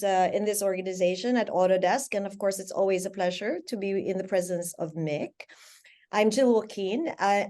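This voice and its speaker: A woman's voice: mid-range voice, darkish tone. A woman from the Philippines speaking conversationally as a sales director on an online panel. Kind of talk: conversational self-introduction on webinar. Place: online video call.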